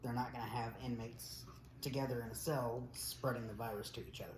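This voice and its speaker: A man's voice: medium pitch, little pitch movement, a little fast. A man co-hosting a casual podcast, reading out news figures in a blunt, matter-of-fact way. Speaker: podcast co-host. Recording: roomy echo with faint noise.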